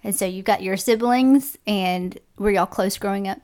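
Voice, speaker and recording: A woman's voice: medium pitch, moderate pace, a little mellow. A woman hosting a faith podcast, chatty and friendly, laughing easily with her guest. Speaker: podcast host. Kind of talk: casual faith podcast interview.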